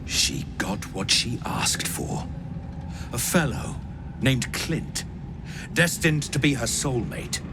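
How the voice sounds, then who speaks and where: An actor playing a gruff, businesslike trader in a video game, telling tales in an unimpressed deadpan. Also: male voice, medium pitch, background noise. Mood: deadpan storytelling. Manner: deadpan, slow storytelling. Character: gruff male trader peddling soul coins, unimpressed and businesslike. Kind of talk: video game character acting. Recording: loud music behind the voice. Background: loud music.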